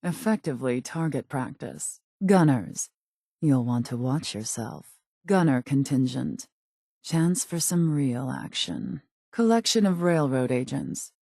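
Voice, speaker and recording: A woman's voice: rumbling low, expressive, a little slow, gravelly, dark tone, dry recording, studio quality, full character voice. A woman playing a cool, calculating raider gang boss in a video game, speaking in a clipped, haughty, businesslike way. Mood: dismissive, cool. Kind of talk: scripted video game character dialogue.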